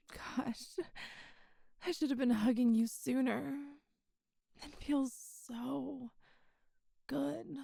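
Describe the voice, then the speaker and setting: A woman voicing a tender, teasing demon goddess in love, in an intimate, halting ASMR audio roleplay with soft, breathy delivery. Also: high voice, steady tone, no room sound, clean studio sound, full character voice. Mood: cozy, playful. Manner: slow, halting, intimate, wistful.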